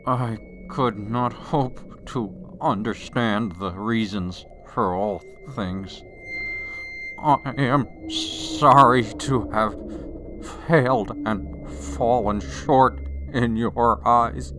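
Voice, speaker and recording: A man playing a suffering, repentant biblical servant of God in a radio drama, pleading in halting, formal speech. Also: medium pitch, noisy background. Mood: humble, repentant.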